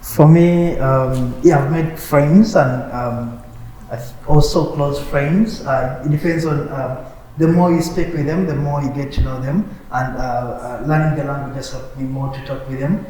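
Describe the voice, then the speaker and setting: A man's voice: mid-range voice, steady tone, unhurried, mellow. A man in his twenties from Uganda speaking hesitantly, with many "uh" and "um", in a casual discussion. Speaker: panel guest. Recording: echoey room, distant mic, faint hiss.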